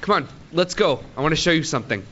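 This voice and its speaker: A man's voice: on the high side, animated, fast, a bit bright, muffled recording. A teenage male student playing an earnest, geeky, lovestruck farm boy in a live radio play. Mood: earnest, proud. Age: teens.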